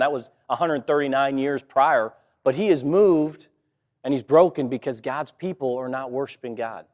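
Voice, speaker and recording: A man preaching a sermon, slightly hoarse from a cold, talking in a conversational way with many fillers and restarts. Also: mid-range voice, darkish tone. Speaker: pastor.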